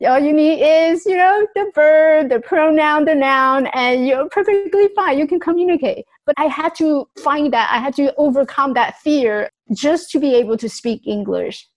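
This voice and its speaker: Woman, raised in Taiwan, earnest reflective storytelling, higher voice, small grammar slips. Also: moderate pace, few pauses. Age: adult. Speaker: speaker sharing her life story. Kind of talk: personal storytelling talk.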